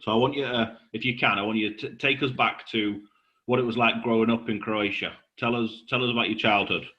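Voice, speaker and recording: A man's voice: mid-range voice, fairly even intonation, a little fast, bright, forceful tone, dull audio. A man chatting informally and matily as an interviewer. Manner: matey, informal.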